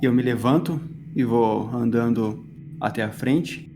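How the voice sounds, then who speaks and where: A man's voice: mid-range voice, a little slow, music in the background. A man, a tabletop RPG player narrating his character's actions in an echoey room. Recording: echoey room with faint noise.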